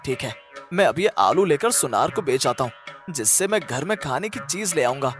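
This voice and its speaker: A man's voice: fairly high pitch, expressive, moderate pace, fairly bright tone, faint music behind. A man voicing a kind, cautious poor village husband in a cartoon story, speaking earnestly and emphatically. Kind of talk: Hindi cartoon story dialogue.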